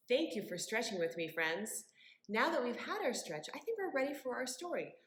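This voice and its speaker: A woman's voice: on the high side, very animated, normal speed, fairly bright tone. A woman hosting a children's storytime as a librarian, leading rhymes and stories in an encouraging, sing-song way and speaking directly to the children. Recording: roomy, echoing indoor sound.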